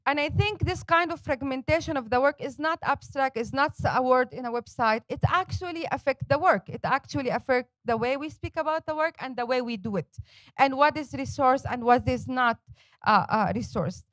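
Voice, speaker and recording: A woman's voice: sits high, moderate pace, a bit bright, no echo. A woman, speaking passionately and emphatically as a panelist and organizer at a forum. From Palestine. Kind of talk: panel talk.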